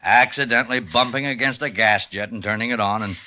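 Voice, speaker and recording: An actor playing a brisk, skeptical police lieutenant who questions dismissively, in old-time radio drama style. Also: male voice, mid-range voice, pushed and bright. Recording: dull vintage radio recording.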